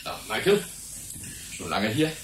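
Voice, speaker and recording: A man's voice: fairly low pitch, huge pitch swings, measured pace, noisy background. An actor playing a genial, erudite older scientist in a German radio drama, savoring his words in a fatherly way. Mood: genial, absorbed. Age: middle-aged. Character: genial, enthusiastic older titled scientist, Michael's fatherly senior.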